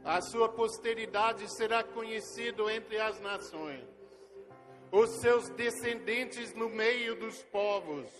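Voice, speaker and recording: An older man, a missionary whose first language is not Portuguese, telling his story to a congregation in a slow, storytelling way. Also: high voice, fairly bright tone. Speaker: missionary leader. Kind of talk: testimony sermon to a congregation. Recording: long hall reverb with faint music.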